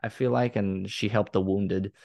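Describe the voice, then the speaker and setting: A man's voice: on the low side, fairly even intonation, normal speed, gravelly, warm tone. A young man from Croatia with a Croatian accent, chatting as an interview guest and rambling a little, with lots of fillers and restarts. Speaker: guest, content creator. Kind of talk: casual interview chat.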